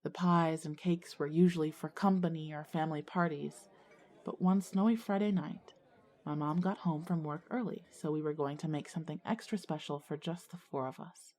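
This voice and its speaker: A woman acting as an earnest schoolgirl visionary, narrating her own ghost story in a measured way for an audio drama. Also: deep voice, darkish tone, natural voice. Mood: wistful, nostalgic. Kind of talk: scripted first-person dramatic narration.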